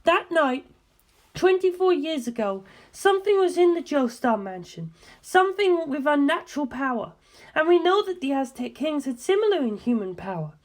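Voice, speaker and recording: A woman voicing an intent, earnest young woman who explains dark legends deliberately and emphatically in an audiobook. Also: sits high, very animated. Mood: grave, emphatic.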